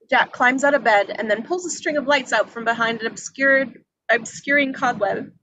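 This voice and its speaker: A woman's voice: on the high side, a bit bright, poor audio. A woman reading out scripted scene descriptions and stage directions as a narrator. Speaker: reader of action descriptions.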